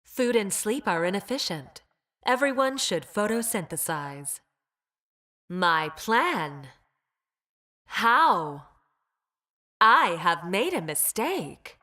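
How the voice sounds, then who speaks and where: A woman playing a cold, logical former combat medic in a video game, with clipped, detached, deliberate delivery. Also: mid-range voice, very animated, bright, forceful tone, full character voice. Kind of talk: short scripted game voice lines.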